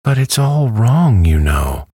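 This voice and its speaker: A man's voice: extremely low pitch, big pitch swings, slow, gravelly, slightly rough, dry recording, studio quality, pitched above the performer's own voice, heavily acted. A man reading a storybook aloud who voices a polite, earnest little girl. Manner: earnest, well-mannered, eager.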